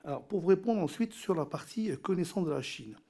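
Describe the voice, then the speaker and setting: A man's voice: high-pitched, animated, brisk, vocal fry, mellow. A middle-aged man from Cambodia, whose first language is a Chinese dialect, testifying at a parliamentary hearing into a desk microphone in a large committee room, hesitant with many fillers and repeated words. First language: Teochew dialect. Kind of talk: formal testimony.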